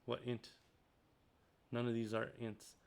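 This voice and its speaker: Guy talking through a c tutorial, reads the book out loud then comments, lots of um and uh, regular mid voice. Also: medium pitch, completely flat delivery, takes it slowly, creaky, clean tone. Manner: thinks out loud, many ums and uhs. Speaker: programming tutor. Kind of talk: screen-recorded coding tutorial, reading a textbook aloud.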